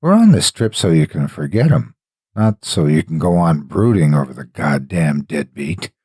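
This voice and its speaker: A man's voice: a bass voice, very animated, moderate pace, darkish tone, slightly rough, no room sound, studio quality, exaggerated voice. A man voicing a blunt, impatient young woman in an audio horror story, brusque and argumentative. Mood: exasperated, scolding. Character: blunt, foul-mouthed young woman friend, impatient and protective.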